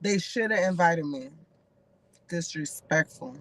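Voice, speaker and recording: A woman's voice: fairly low pitch, expressive, measured pace, a bit bright, no echo. A woman speaks with an accent that blends Dominican and Massachusetts sounds. She talks loosely and chattily, with plenty of slang and fillers. Her voice comes through a remote video call. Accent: Dominican and Massachusetts mix. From Dominican family, raised in Massachusetts. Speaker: guest. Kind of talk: casual chat on a live call.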